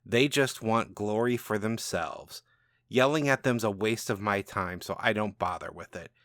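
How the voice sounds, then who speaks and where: Storybook princess, male reader: reclusive, smart, dry and scornful, lowish register, very dry sound. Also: normal speed, a bit bright, no echo, natural voice. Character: agoraphobic, smart, scornful young princess guarding her pet. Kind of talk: first-person storybook narration.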